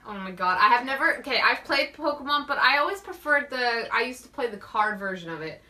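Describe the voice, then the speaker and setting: A young Canadian woman with a bright, slightly high voice co-hosts a casual talk show. She speaks effusively, with exclamations and a loose, conversational flow full of "like". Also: expressive, a little fast, a little hiss or hum. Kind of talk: casual chat.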